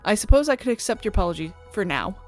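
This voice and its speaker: A woman's voice: mid-range voice, very animated, fast, natural voice. A prickly young-lady superfan, acted by a woman reading a visual novel, talks bluntly and snippily, full of suspicion. Her voice is mid-range, with music underneath. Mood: grudging, snippy. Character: prickly, sceptical female idol superfan. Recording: music playing behind the voice.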